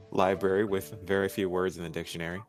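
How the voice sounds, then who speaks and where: A man as a guest commentator, reacting in a jokey, animated way in casual unscripted conversation. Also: deep voice, moderate pace, faint music behind.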